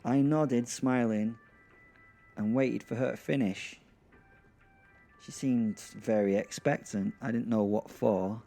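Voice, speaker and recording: A male podcast narrator with a mellow, medium-pitched voice. He takes his time, speaking informally and haltingly with a self-deprecating streak, over faint music. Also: fairly even intonation, gravelly. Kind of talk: first-person audio drama storytelling and informal address.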